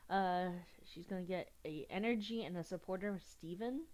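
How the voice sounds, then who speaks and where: Young woman, lowish voice, sniffly head cold, chatty card-game commentary with giggles. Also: moderate pace, darkish tone. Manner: chatty, giggly, restarts often. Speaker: gaming youtuber.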